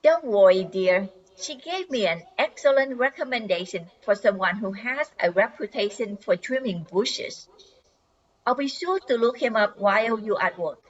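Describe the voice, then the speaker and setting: An older woman playing a polite suburban housewife greeting neighbors in a radio comedy, expressive, on a dull recording. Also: mid-range voice, moderate pace, lightly acted. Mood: knowing. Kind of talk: old-time radio comedy acting. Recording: dull lo-fi sound.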